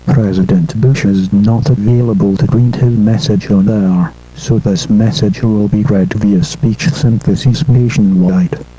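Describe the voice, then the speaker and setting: A synthetic male voice with a husky tone, making a formal scripted announcement as a station announcer. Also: on the low side, steady tone, measured pace, few pauses, dark tone. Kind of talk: scripted broadcast interruption announcement. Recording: muffled lo-fi broadcast.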